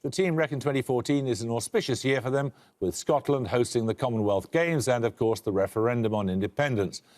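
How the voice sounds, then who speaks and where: A man hosting a television quiz show, reading questions and introductions in a crisp, dry, authoritative way with wry asides. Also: medium pitch, fairly quick, darkish tone. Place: television studio.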